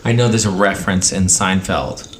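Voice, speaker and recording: A man's voice: low voice, fairly even intonation, moderate pace, roomy sound. A man co-hosting a podcast, chatting casually and reacting to his co-host's recap. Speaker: podcast co-host. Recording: clear background noise.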